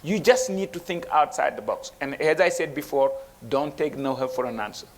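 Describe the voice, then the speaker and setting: A man's voice: on the high side, expressive, moderate pace. A man speaking as an investment banker on a panel, emphatic, with rhetorical questions and frequent restarts. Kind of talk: motivational panel talk.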